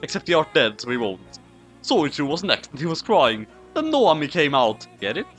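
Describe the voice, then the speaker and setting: A man's voice: fairly high pitch, big pitch swings, normal speed, a bit bright, soft background music. A man narrating a parody story as a comic dramatic reading, mock-serious, with casual asides.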